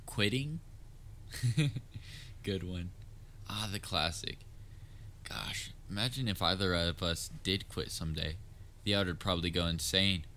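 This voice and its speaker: Over a clearly noisy ambient background comes a man acting as a theatrical male supervillain with a fairly low voice. He is soft-hearted and anxiously attached to his nemesis, speaking straight to the listener in an earnest, halting way with touches of wry banter, as in an audio roleplay. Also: full character voice. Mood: teasing disbelief.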